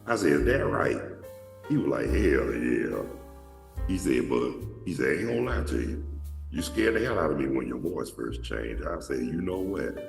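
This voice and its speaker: Deep gravelly guy just shooting the breeze, cussing, echoey room, mic kinda far off. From Atlanta, Georgia. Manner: rambling, profane, frequent restarts. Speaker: show host. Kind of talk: casual storytelling monologue.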